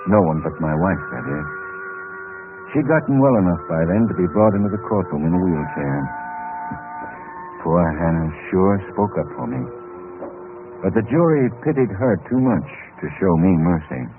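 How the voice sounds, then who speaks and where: An actor playing a bitter, rueful convicted husband who narrates an old radio drama in a confessional manner. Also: male voice, low voice, sing-song, moderate pace, narrow, tinny audio. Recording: thin, noisy old radio recording.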